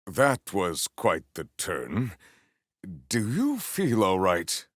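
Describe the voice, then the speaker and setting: A man voicing a big, formal, earnest warrior in a video game, with stately, measured phrasing and a solemn temper. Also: huge pitch swings, no echo, clean studio sound, exaggerated voice. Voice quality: deep, gravelly.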